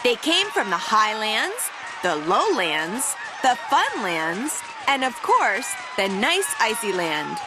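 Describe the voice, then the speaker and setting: A woman reading a children's picture book aloud in an animated, theatrical manner with dramatic emphasis. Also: a little high, slow pace, a crowd in the background, background noise. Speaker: storybook reader.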